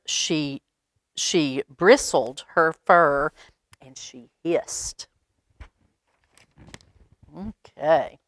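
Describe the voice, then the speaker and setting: An American woman reading a picture book aloud to young children in an expressive, unhurried way, with pauses and exclaimed asides. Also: on the low side, no room sound, studio quality. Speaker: storytime reader at a library.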